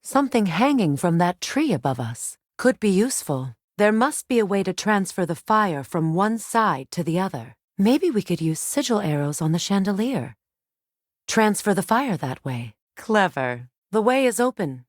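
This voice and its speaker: A woman playing a solemn, compassionate, determined ancient goddess in a video game, speaking in a measured, formal, earnest way. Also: medium pitch, big pitch swings, dry recording, clean studio sound. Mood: focused, instructive. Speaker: video game character voice actor. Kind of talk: dramatic game dialogue.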